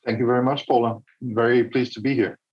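A man's voice: medium pitch, steady tone, fairly quick, highs cut off, soft background music. A man from Denmark, answering as a podcast guest, hesitant and often repeating words while he thinks. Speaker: podcast guest, business director. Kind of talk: interview answers.

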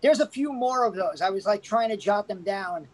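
A man co-hosting a casual movie podcast, relaxed and knowledgeable, talking with frequent fillers. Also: high-pitched, moderate pace, low-quality recording. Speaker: podcast co-host. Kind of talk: casual movie review chat.

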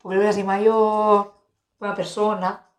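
Woman in her thirties from Spain, an expressive podcast co-host whose mid-pitched voice rings through a roomy, echoing space. She talks in a lively, exclamatory way, with laughter breaking through. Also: unhurried. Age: 30s. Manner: animated, exclamatory, laughs often. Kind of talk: casual chat. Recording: roomy echo.

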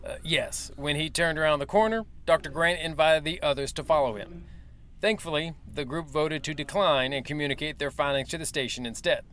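An actor playing a male military major who briefs the press in a formal, measured and evasive way. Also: fairly high pitch, a bit bright, natural voice. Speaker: official spokesman. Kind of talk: audio drama acting.

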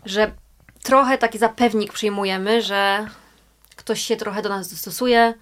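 A woman's voice: medium pitch, steady tone, measured pace. A woman interviewer who reflects on what her guests said and then asks them questions. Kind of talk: casual interview.

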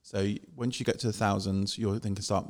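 A man's voice: on the low side, fairly even intonation, fairly quick. A man in casual conversation, giving practical advice as a YouTuber. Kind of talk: casual chat.

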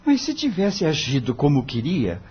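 A man's voice: fairly high pitch, wildly swooping pitch, moderate pace, husky, highs cut off, heavily acted. An actor playing an earnest, discouraged young male lawyer in a radio drama, respectful and pleading, with a Brazilian accent. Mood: dismayed.

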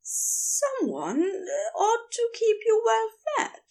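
A woman's voice: very high voice, huge pitch swings, takes it slowly, a little mellow, pitched above the performer's own voice, heavily acted. A woman voicing a nervous, overly polite man who stammers and hesitates in an audiobook-style story. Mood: uneasy, polite.

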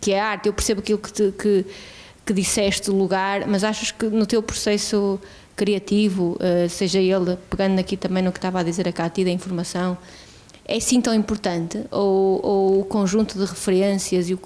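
A woman's voice: mid-range voice, level tone, normal speed, darkish tone. A woman hosting a podcast interview, asking long, loosely built questions. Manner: conversational, loosely phrased questions. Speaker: podcast host.

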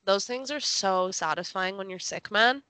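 A young woman co-hosting a podcast in casual conversation, with a gravelly morning voice and an informal, chatty way of talking. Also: mid-range voice, normal speed, bright, forceful tone, no echo. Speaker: podcast co-host. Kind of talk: casual chat.

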